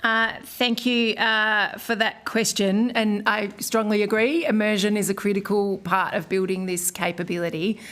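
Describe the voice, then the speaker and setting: A woman giving formal testimony to a parliamentary committee. She speaks in a measured way with frequent ums and uhs. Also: mid-range voice, little pitch movement, fairly bright tone. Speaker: official witness.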